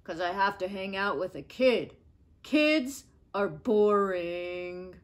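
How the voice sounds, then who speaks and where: A woman voicing a bored, grumpy talking potato in a storybook, deadpan, drawn-out and dismissive. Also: medium pitch, pitched below the performer's own voice.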